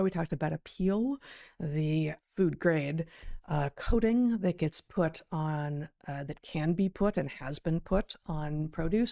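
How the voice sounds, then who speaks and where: Woman, low gravelly voice, deliberate and articulate, podcast commentary, pauses mid-thought. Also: darkish tone. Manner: deliberate, articulate, hesitant pauses mid-sentence, emphatic word choices. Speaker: podcast co-host and biologist. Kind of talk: conversational commentary on news, reading out quotes.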